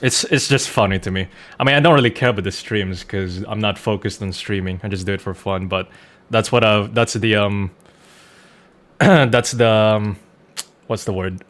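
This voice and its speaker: A man's voice: fairly low pitch, normal speed, fairly bright tone. A man chatting informally as a game streamer, swearing now and then and repeating himself for emphasis. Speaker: streamer, voice actor. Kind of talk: casual livestream chat while gaming.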